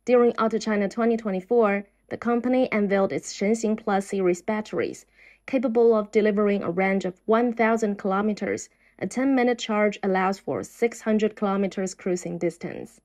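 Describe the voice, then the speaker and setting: A woman narrating a business documentary in a measured, scripted voice-over. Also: mid-range voice, a little mellow.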